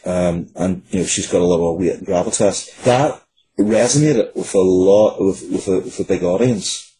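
A man in his forties with a Northern Irish accent, answering interview questions as a writer in a reflective, halting way. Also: low voice, mellow, rough recording. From Northern Ireland. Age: 40s.

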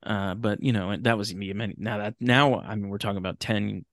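A man's voice: fairly low pitch, fairly even intonation, fairly quick, no room sound, studio quality. An American man in casual podcast conversation as a guest, opinionated and casually profane. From Sacramento, California, United States. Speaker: podcast guest, host of his own show.

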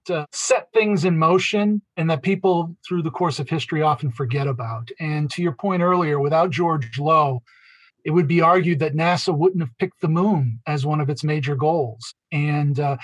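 A man's voice: a little high, dry recording, rough recording. A man, a guest author and marketing executive, answering interview questions with fluent, detailed storytelling and a few fillers.

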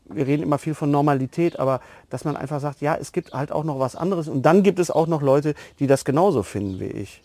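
Higher-pitched man, closely recorded interviewer. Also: a little mellow, no room sound. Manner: halting, repeats words while formulating. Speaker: podcast interviewer. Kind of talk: casual chat.

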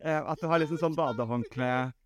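A man co-hosting a podcast in casual comedic chat, giggly and halting as he eagerly agrees. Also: a little high, slight background noise. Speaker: podcast co-host.

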